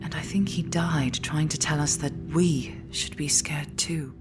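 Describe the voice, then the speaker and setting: An actress playing an immortal woman leader in a sci-fi audio drama, intimate and hushed, tender yet weary and resolute. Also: deep voice, steady tone, a little slow, over loud music. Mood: grave. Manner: intimate, hushed, often slipping into whisper. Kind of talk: full-cast sci-fi audio drama acting.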